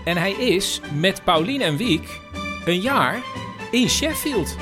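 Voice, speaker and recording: Male podcast host, fairly high voice, lively intonation, informal storytelling over faint music. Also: slow. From Netherlands. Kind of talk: informal scripted podcast narration.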